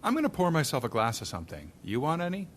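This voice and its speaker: An actor playing a restless former adventurer who talks in a reflective, wistful way in an audio drama. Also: male voice, on the high side, huge pitch swings, fast talker, fairly bright tone, slight background noise.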